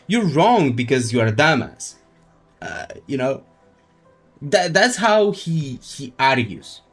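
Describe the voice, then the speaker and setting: A man's voice: medium pitch, very animated, slow pace, fairly bright tone, husky. A man chatting casually on a game livestream, giving opinions and repeating phrases as he explains his points. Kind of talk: casual livestream chat and commentary. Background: faint music.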